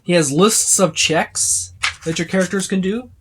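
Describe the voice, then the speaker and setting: A man's voice: sits high, unhurried, background noise. A man giving a casual, unscripted walkthrough as a reviewer, rambling and listing items as he browses. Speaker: tabletop game book reviewer.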